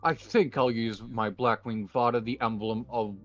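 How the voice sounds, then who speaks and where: A man speaking in a measured, understated way as he plays a roleplay card duel and casually announces his moves. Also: mid-range voice, fairly even intonation. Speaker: card game duelist player. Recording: dull lo-fi mic, faint music behind.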